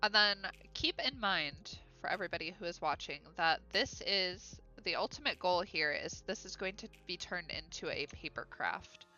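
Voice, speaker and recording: Bright-voiced Midwest American woman streaming. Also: fairly low pitch, expressive, normal speed, hardly any pauses, dull audio, faint music behind. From Ohio, USA. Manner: chatty and upbeat, with frequent fillers and giggles. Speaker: illustrator live-streaming her drawing. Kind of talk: casual livestream chat while drawing, reading viewer comments aloud.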